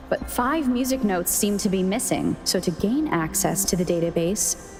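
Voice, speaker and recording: A woman voicing a calm, analytical young adventurer in a video game, musing to herself in a measured, matter-of-fact way. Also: mid-range voice, lightly acted. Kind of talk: video game voice acting, inner monologue.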